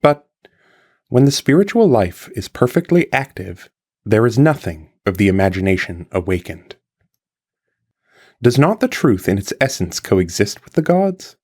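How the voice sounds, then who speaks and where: Low-voiced man reading with sweeping intonation. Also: big pitch swings, a little slow, darkish tone. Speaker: reader of a philosophical text. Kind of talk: scripted audiobook-style reading of an archaic philosophical translation.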